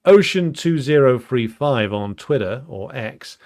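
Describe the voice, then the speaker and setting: Halting man hosting a live chat. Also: medium pitch, expressive, a little mellow. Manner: halting, with many ums and uhs. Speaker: livestream host. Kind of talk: casual live chat, reading out viewer comments.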